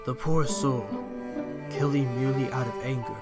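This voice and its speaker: A man's voice: medium pitch, fairly even intonation, normal speed, loud music behind the voice, lightly acted. A man playing a compassionate, reflective hero in an audio drama, speaking earnestly.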